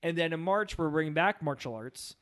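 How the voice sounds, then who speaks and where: A man with a fairly high-pitched voice talks at a quick clip. He is co-hosting a movie podcast, chatting casually and informally with the other hosts and addressing listeners. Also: lively intonation, a bit bright. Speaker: podcast co-host. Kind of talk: casual film podcast chat.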